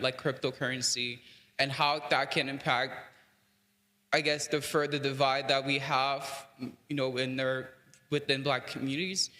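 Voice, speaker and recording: A man's voice: medium pitch, pushed and bright. A young man from Cameroon asking a panel a question from the audience mic, polite and hesitant with many fillers. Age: young adult. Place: conference hall, audience mic. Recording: reverberant hall.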